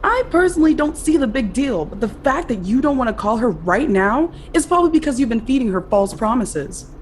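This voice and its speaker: A woman's voice: on the high side, big pitch swings, brisk, a little hiss or hum. An actress in an audio drama playing a hurt, guarded younger woman who is insistent with her lover. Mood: indignant, demanding. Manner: indignant, insistent, emotionally guarded. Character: hurt, demanding younger woman in love with brother's best friend. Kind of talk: contemporary audio drama acting. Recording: music under the voice.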